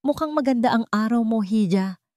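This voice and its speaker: A woman voicing a kindly elderly female housekeeper who speaks gently and affectionately. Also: on the high side, fairly quick, no echo, studio quality, pitched above the performer's own voice, lightly acted. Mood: warm.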